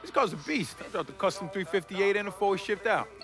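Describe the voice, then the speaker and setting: A man's voice: high-pitched, a little fast, a bit bright, faint music behind. An actor playing a brash, crude, cocky young American man in a video game cutscene.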